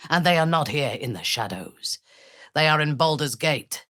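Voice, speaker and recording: An actress playing a ruthless, haughty female warrior from the Underdark in a video game, speaking in a measured, imperious and menacing way. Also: low voice, lively intonation, fairly bright tone, no room sound, studio quality. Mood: cold, commanding. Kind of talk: video game character voice lines.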